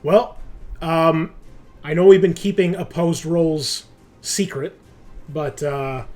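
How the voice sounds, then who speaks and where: A man's voice: on the high side, slow, darkish tone. A man running a tabletop roleplaying game as game master, talking casually and with enthusiasm, swearing now and then. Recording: music playing behind the voice. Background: music.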